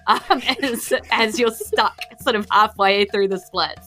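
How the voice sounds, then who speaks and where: A woman's voice: medium pitch, a little slow, sharp tone, soft background music. A woman, narrating a tabletop game as game master in an informal way. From New Zealand.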